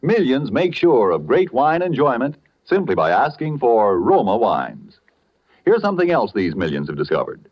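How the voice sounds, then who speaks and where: A man announcing a sponsor's message in a scripted 1940s radio advertising style. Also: medium pitch. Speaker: commercial announcer. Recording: muffled vintage radio recording.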